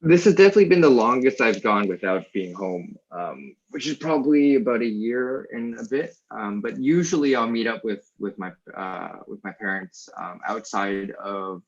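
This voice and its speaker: A man with a Canadian accent giving casual, thoughtful answers as an interview guest, pausing to think as he goes. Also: on the low side, expressive. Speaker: interview guest, teacher community lead. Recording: dull, lo-fi call audio.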